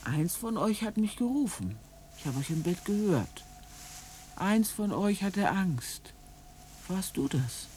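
Musing countryman, very lively intonation. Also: high-pitched, slow, a little hiss or hum, exaggerated voice. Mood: gently musing. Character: solitary countryman gently talking to his animals. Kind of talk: radio drama acting.

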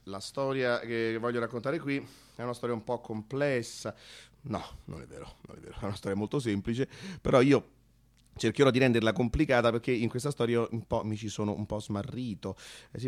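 A man performing a theatrical radio monologue, conversational and halting, repeating phrases and swinging from hushed to excited. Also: mid-range voice. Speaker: solo narrator-actor.

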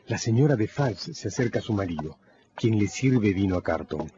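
A man delivering dramatic scripted narration for a radio drama, storytelling with deliberate pauses. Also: fairly low pitch, animated, warm tone, muffled recording.

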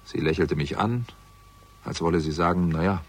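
A man's voice: low voice, moderate pace. A man playing a world-weary investigator who narrates his own case in a radio crime drama, dry and literary. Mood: wry, reflective. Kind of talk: scripted first-person radio drama narration.

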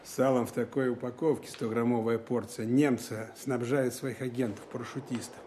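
Casting: man playing authoritative wartime lieutenant colonel, mid-pitched, terse, deliberate, dry, for radio drama with slight background noise. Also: fairly even intonation, warm tone, lightly acted. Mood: probing. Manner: terse, commanding, deliberate questioning. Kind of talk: Russian wartime audio drama, narration and dialogue.

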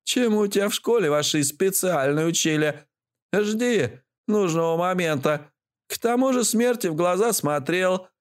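A man's voice: high-pitched, lively intonation, a little slow, voice pushed up. A man voicing a sly, bossy old granny with a folksy, mocking and scolding manner, in a storybook reading. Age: older.

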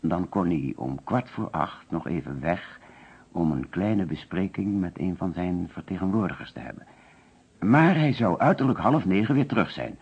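An actor playing an anxious, courteous insurance agent under suspicion, speaking carefully and formally in a radio detective play. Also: male voice, low voice, animated, normal speed, breathy edge, full character voice. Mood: careful recounting. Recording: muffled old radio recording.

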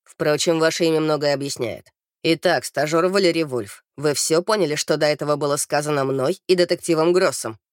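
A woman voicing a stern, bearded male police captain from an audiobook, formal and commanding with crisp diction. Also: deep voice, brisk, dry recording, studio quality, voice pushed down, lightly acted. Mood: formal, pointed.

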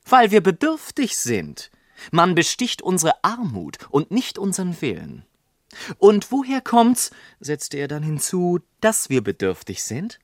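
Radio play casting: witty, pompous gentleman narrator, theatrical and rhetorical, unhurried, very dry close sound. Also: high-pitched, huge pitch swings, dry recording, full character voice. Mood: declamatory, rhetorical. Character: witty, pompous gentleman narrator telling his life story.

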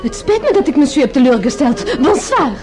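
A woman's voice: sits high, big pitch swings, fairly quick. A woman playing an elegant, haughty French-speaking lady in a radio play, formal and coolly polite, with a French accent. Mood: cool, offended. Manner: formal, cool, pointed politeness. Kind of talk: radio drama acting. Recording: music playing behind the voice.

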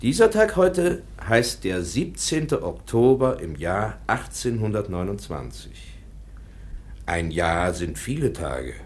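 A man playing a kindly, patient professor in a radio drama, explaining things gently and simply. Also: lowish voice, sing-song, slow pace. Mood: gentle, patient. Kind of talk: German radio drama acting.

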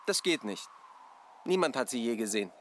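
A man's voice: mid-range voice, sing-song, fairly quick, a little hiss or hum, lightly acted. An actor playing a bossy, matter-of-fact male maintenance engineer in a German radio drama. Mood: impatient. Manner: brusque, matter-of-fact, instructing.

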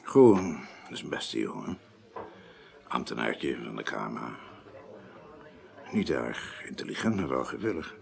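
An actor playing an embittered, washed-up politician and ex-naval officer near breakdown in a radio crime drama, speaking haltingly and with grievance. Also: male voice, very deep voice, sing-song, creaky, a little mellow, full character voice. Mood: resigned, bitter. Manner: hesitant, halting, aggrieved. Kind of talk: 1980s Dutch radio play acting.

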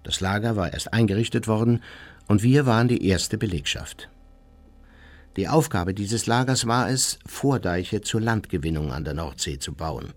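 An unrepentant Nazi war criminal telling his life story for a radio play. The voice is fairly low, and he recounts everything in a measured, factual way. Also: expressive. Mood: self-justifying, factual. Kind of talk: radio drama memoir narration.

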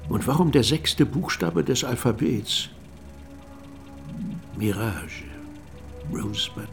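A man playing a reflective radio drama narrator who tells his account in a measured, cryptic way. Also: medium pitch, expressive, creaky, faint music behind. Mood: measured, enigmatic. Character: reflective male narrator recounting evidence and memories. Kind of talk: scripted radio play narration.

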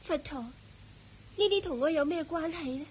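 An actress playing a sorrowful, humble young widow in a radio drama, plaintive and meek, with a Hong Kong Cantonese accent. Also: female voice, high-pitched, moderate pace, darkish tone, slight background noise, natural voice. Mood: humble, grateful. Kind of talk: Buddhist radio drama acting.

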